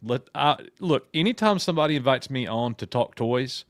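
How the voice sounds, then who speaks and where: A man from Alabama, co-hosting a casual livestream chat, excitable and quick to laugh over a video call. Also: mid-range voice, no room sound, studio quality. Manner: excited, laughing, restarts his phrases. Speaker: co-host.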